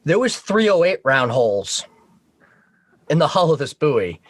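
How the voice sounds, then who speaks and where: An American man with a fairly high voice tells casual stories in a joking, salty way. He sounds like a podcast guest, and the recording is dry, with very little room in it. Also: very animated, measured pace, dry recording. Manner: profane, joking. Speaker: podcast guest, Coast Guard veteran. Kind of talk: casual anecdote storytelling.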